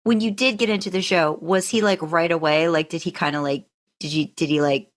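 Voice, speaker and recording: A woman hosting a casual podcast, asking questions in a halting way peppered with filler words. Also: fairly low pitch, fairly even intonation. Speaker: podcast host. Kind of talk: casual chat.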